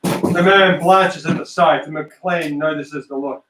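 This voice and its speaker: A man's voice: a little high, steady tone, measured pace, noisy background. A man narrating a script aloud, reading out stage directions. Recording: echoey room, distant mic.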